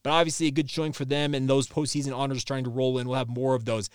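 A man in his thirties hosting a sports podcast solo, giving conversational news commentary with wordy phrasing and frequent filled pauses. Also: medium pitch, flat delivery, clean tone, no room sound, clean studio sound. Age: 30s.

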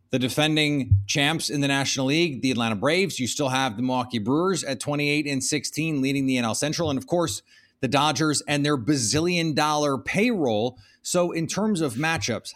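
A man's voice: mid-range voice, fairly bright tone. Male sports podcast host. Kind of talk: sports news update and interview.

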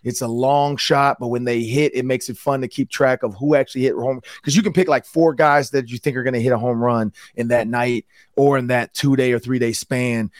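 A man hosting a sports podcast, talking in a loose, upbeat way with a hoarse voice. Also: mid-range voice, no room sound, studio quality. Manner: upbeat, loose, repeats words. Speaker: podcast host. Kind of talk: casual sports talk.

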